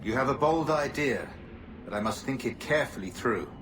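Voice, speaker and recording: A man's voice: mid-range voice, lively intonation, moderate pace, noisy background, natural voice. A man playing a cautious genteel governor.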